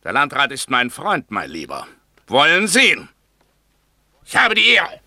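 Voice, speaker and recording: Sharp, haughty German count. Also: male voice, medium pitch, huge pitch swings, unhurried, no room sound, studio quality, full character voice. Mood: offended, threatening. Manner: haughty, cutting. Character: haughty, offended count, proud of noble birth. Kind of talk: 1960s German radio play acting.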